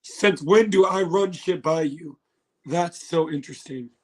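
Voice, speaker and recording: Hoarse, excitable man on a phone stream. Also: fairly high pitch, a little slow. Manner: excitable, laughs often, swears. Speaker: livestream host. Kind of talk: casual livestream trailer reaction.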